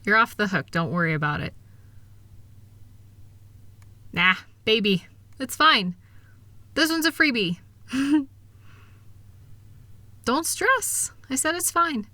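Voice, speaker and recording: A woman playing a kind, capable wife who gently teases and reassures a partner in an intimate comfort audio roleplay. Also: fairly high pitch, lively intonation, slow pace, pauses often, no room sound, heavily acted. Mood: light reassurance. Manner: reassuring, gentle, teasing, affectionate. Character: kind, upbeat, capable wife soothing her insecure partner.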